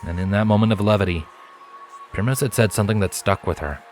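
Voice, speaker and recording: Low-voiced man narrating a story. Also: fast talker, a little hiss or hum. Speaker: audiobook narrator. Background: background music.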